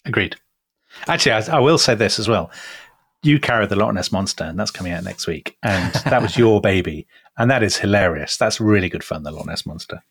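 Co-host, man, lowish voice, teasing banter, dry close mic. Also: expressive, fairly quick, a bit bright, husky, no room sound. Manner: teasing, mock-indignant. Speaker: podcast co-host. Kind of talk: bantering podcast chat.

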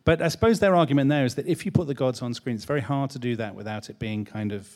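A man's voice: medium pitch, fast talker, darkish tone. A man co-hosting a live talk show, dry and teasing with his partner. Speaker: podcast co-host at a live show. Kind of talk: live conversation.

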